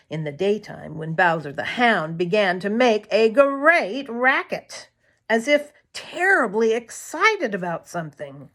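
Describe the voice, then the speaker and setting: A woman reading a children's story aloud in a deliberate, expressive storytelling manner. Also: on the low side. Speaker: storybook reader.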